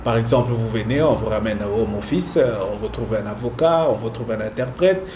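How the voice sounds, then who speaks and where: A man from Congo being interviewed as a community entrepreneur, speaking in a measured way, listing his roles. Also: fairly low pitch. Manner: measured, speaks in lists, uses sayings. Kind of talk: interview answers. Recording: echoey room with clear noise.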